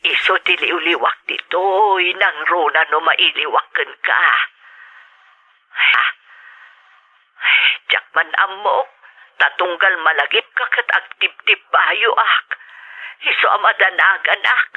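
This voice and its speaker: A woman's voice: lowish voice, takes it slowly, long pauses, bright, forceful tone, husky, heavily acted. Radio drama: tender, worried older mother, Ilocano accent, narrow telephone-filtered sound, fretting over her child. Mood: anxious. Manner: fretful, tender. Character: worried, loving older mother back home. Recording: thin, band-limited telephone sound.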